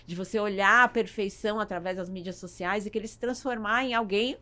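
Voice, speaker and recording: A woman with a Brazilian accent answering as a podcast guest in a conversational, halting way, with repeats and tag questions. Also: medium pitch, pushed and bright. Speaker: podcast guest, salon business owner.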